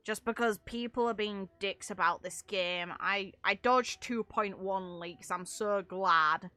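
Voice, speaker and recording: Bright-voiced woman streaming a game. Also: medium pitch, slow, faint music behind. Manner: rambling, explanatory, casually sweary. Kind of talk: casual livestream commentary on a game.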